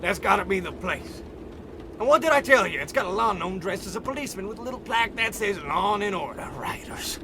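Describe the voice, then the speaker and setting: A man's voice: high-pitched, lively intonation, brisk, background noise. A man playing a cocky, wisecracking hired goon with a blustery tough-guy manner in an old-time radio comedy. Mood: excited, blustering.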